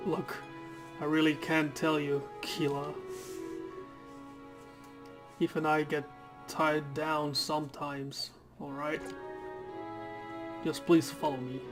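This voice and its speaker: A man's voice: a little high, level tone, slow, pauses often, music in the background. A Dutch man voicing an apologetic, secretive male guide in a game, who talks earnestly and dodges questions.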